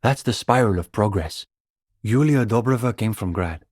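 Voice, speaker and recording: A young man voicing an earnest, bookish communist student in a video game, idealistic and a little condescending, speaking in measured, hesitant intellectual phrases. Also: fairly low pitch, animated, a little mellow, husky, no room sound, clean studio sound. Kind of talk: video game character dialogue.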